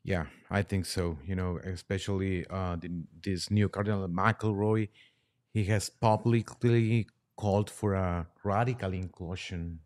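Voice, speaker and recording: A man's voice: low-pitched, steady tone, a little mellow. A man whose native language is Spanish, speaking hesitantly as a priest guest in a conversational podcast. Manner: hesitant, halting. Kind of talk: conversational commentary.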